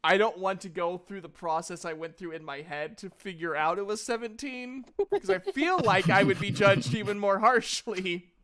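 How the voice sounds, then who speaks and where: A man's voice: sits high, lively intonation, moderate pace, hardly any pauses, fairly bright tone. A man in his thirties bantering casually as game master of a tabletop game, with a giggly, self-deprecating, teasing manner. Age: 30s.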